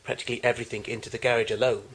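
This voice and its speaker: A man's voice: mid-range voice, level tone, rapid-fire, a bit bright. A man giving an unscripted tour of his collection in a home video, recorded in a garage on amateur camcorder audio.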